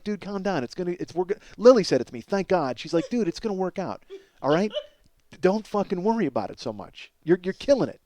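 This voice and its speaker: Excitable middle-aged man, fairly high voice. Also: dry recording. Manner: excitable, self-mocking, profane, stammering repetitions. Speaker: comedy podcast host. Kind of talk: rambling comedic monologue storytelling.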